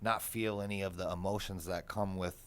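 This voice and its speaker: A man's voice: low-pitched, steady tone, a little fast, fairly bright tone, clear, resonant tone, dry recording. A young man with a Boston accent, talking casually as a guest musician, swearing freely and filling with "like" and "you know". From Massachusetts. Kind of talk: casual podcast conversation.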